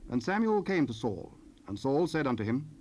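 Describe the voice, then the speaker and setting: Solemn male Bible narrator, medium pitch. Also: sing-song, moderate pace, darkish tone. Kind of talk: scripted scripture narration. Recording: noisy cassette rip, ambient sound.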